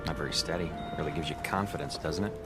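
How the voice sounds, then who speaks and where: An actor playing a grouchy, sardonic husband with an American accent, dry and curt in a radio drama. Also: male voice, rumbling low, fast, over loud music.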